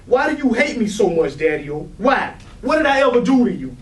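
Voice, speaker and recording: High-voiced actor as hurt, defiant son, roomy recording. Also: male voice, very animated, fairly quick. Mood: pleading, upset. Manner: pleading and confrontational. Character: hurt, defiant grown son of an angry father. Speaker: stage actor in a readers theatre. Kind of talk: dramatic staged play reading. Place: live readers theatre room. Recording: roomy, distant mic with light noise.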